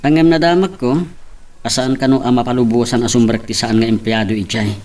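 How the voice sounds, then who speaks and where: A man acts as a young Ilocano buddy and friendly romantic rival in an audio drama. His voice is medium in pitch and heard slightly off the microphone. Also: steady tone, brisk, darkish tone, distant mic, close to an everyday voice. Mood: casual. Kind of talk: Ilocano radio-style love drama acting.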